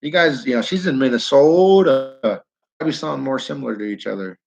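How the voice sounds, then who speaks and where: A man's voice: mid-range voice, fairly quick, creaky, darkish tone. A man with a northern New York accent hosting a casual livestream, rambling, restarting sentences and talking to viewers by name. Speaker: livestream host. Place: his downtown building.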